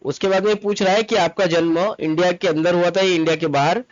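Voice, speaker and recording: A man presents an informal tutorial in a somewhat high-pitched voice. He instructs step by step, repeating himself and dropping in English terms. The sound is very dry and a bit dull and lo-fi. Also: fairly even intonation, brisk, no echo. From Mumbai, India. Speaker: tutorial presenter, travel agent. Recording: dull lo-fi close dry recording. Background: voice-over to screen recording.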